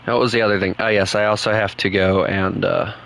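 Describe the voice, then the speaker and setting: Rambling young gamer, Southern US accent, lowish voice, bright forceful tone, light noise behind. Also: male voice, level tone, a little fast, vocal fry. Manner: rambling, chatty, laughs often. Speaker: gaming streamer. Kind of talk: casual gaming chat.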